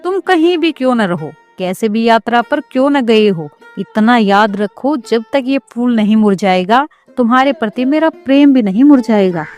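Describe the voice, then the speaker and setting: A woman's voice: a little high, lively intonation, normal speed, few pauses, darkish tone, voice pushed up, natural voice. A woman voicing a tender, solemn, devoted young wife in a folktale, with music behind. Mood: tender, vowing. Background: background music.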